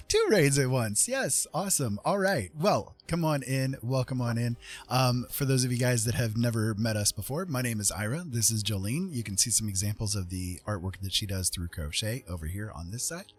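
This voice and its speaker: Husky man chatting on a livestream. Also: mid-range voice, animated, moderate pace. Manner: friendly, welcoming, rambling. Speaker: livestream host, digital artist. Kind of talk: casual livestream chat. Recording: music playing behind the voice. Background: music.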